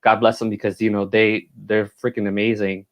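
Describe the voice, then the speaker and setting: A man's voice: on the low side, level tone, lo-fi sound. Playful campy young guest man. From born in Puerto Rico, raised in New York. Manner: playful and campy, quick to laugh. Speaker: interior designer, guest. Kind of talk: casual interview chat. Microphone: earpiece mic.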